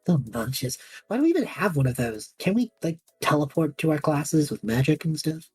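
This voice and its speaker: A woman playing an anxious, sarcastic young male magic student in an audio drama, rambling, flustered and interrupting himself, with a husky voice. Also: deep voice, very animated, moderate pace, a little mellow, soft background music. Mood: playful, defensive. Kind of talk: audio drama acting, monologue and banter.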